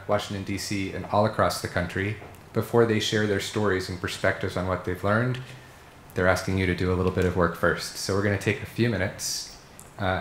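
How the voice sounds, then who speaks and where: A middle-aged man runs a student panel in a university seminar room. His voice sits a little low and carries echo from the room, with faint background hiss. He talks in a friendly, organized way, with plenty of "uh" fillers. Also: a bit bright. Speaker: panel facilitator. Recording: echoey room.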